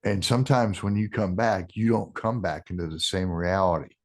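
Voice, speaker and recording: A man in his sixties, a podcast guest talking casually in a halting way, restarting sentences with ums. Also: sits low. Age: 60s. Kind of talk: casual interview talk.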